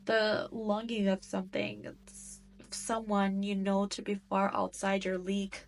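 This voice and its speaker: A woman's voice: mid-range voice, flat delivery, measured pace, slight background noise, natural voice. A woman playing a cruel, seductive demon queen in an audio roleplay, taunting and condescending as she toys with her prey. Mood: teasing, haughty. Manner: taunting, haughty, condescending, teasing. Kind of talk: scripted roleplay audio drama monologue.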